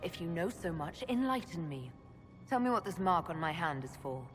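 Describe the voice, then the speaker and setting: Game heroine: bold elven envoy, lowish voice, dry and composed, reverberant hall with music. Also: female voice, sing-song, normal speed. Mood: defiant. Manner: composed, sardonic. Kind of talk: dramatic game cutscene acting.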